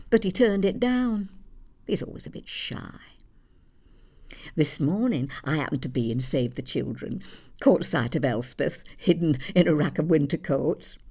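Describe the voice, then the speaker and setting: A woman's voice: lowish voice, mellow. An actress playing an elderly, plain-spoken Yorkshire mother, telling a dry, gossipy monologue in a conversational way. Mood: wry, bemused. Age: older. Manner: dry, matter-of-fact, gossipy. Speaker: actor in a theatre monologue. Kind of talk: conversational storytelling stage monologue.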